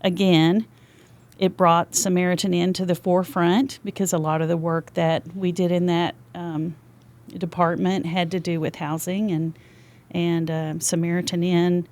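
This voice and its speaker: A woman's voice: lowish voice, level tone, unhurried, a little mellow. Chatty older Texan woman. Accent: Texas. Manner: chatty and talkative. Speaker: podcast guest. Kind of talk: casual interview chat. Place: podcast studio.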